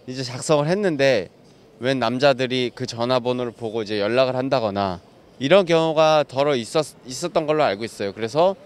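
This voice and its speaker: A man's voice: mid-range voice, smooth. Young man, careful explainer, outdoor street interview. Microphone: handheld mic held by interviewer.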